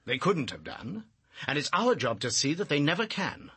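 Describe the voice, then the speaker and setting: An actor playing a brisk, hard-headed investigator in a radio drama. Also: male voice, on the high side, very animated, pushed and bright, slightly rough, exaggerated voice. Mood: brisk, matter-of-fact.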